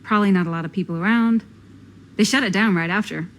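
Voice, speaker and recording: Game role: cool gothic-leaning young American woman voiced by an actress, mid-range voice, deadpan and knowing. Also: medium pitch, fast, a little hiss or hum, lightly acted. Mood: matter-of-fact. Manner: deadpan, understated. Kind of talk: naturalistic video game acting.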